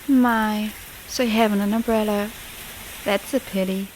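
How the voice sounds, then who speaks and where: A woman's voice: mid-range voice, steady tone, unhurried, darkish tone, slight background noise, lightly acted, low-quality recording. A woman playing a haughty, teasing young lady in a visual novel fandub, speaking formally. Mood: coolly amused. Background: rain.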